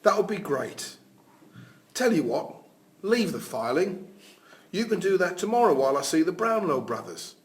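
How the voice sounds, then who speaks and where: A man's voice: sits high, very animated, moderate pace, long pauses. A man in an amateur drama reading, playing a kindly male boss who talks politely and reassuringly. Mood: genial, reassuring. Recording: faint background noise.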